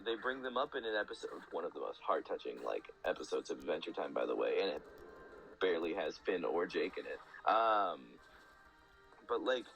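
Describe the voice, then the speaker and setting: A man with a fairly low voice talks as a guest in a relaxed podcast conversation. He explains things eagerly and loosely, peppering his speech with "like". Faint music plays behind him. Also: low-quality recording. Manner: rambling, enthusiastic explaining with frequent "like". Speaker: guest speaker. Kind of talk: casual podcast chat.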